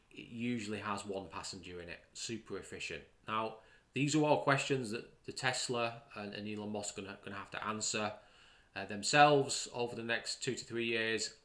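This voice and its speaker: A man's voice: lowish voice, bright, forceful tone. A man hosting a podcast, giving opinion commentary in an emphatic way, repeating words for stress. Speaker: podcast host.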